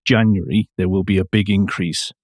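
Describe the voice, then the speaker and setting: Man, low-pitched voice, podcast co-host, conversational analysis, close dry recording. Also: steady tone, moderate pace, darkish tone, dry recording.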